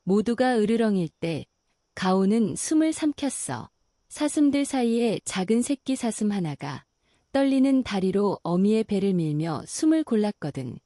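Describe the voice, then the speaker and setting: Female storyteller for a bedtime story, mellow and soothing, melodic delivery, dry close sound. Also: mid-range voice, big pitch swings, no room sound. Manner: slow, soothing and gentle. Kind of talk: calm bedtime story narration.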